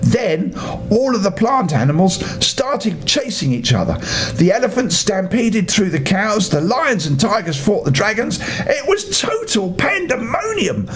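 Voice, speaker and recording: Older guy telling a kids story, kinda high voice, super expressive and playful, bit of rumble behind him. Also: measured pace. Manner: theatrical, playful. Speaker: storyteller grandfather. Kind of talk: expressive children's story reading.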